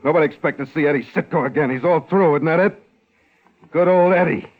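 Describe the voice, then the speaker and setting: An actor playing a wounded, bitter escaped convict bent on revenge, in tough, brooding 1950s radio drama style. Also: male voice, a little high, a little fast, natural voice. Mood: hard, bitter. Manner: tough, brooding gangster talk. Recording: muffled, worn 1950s radio recording.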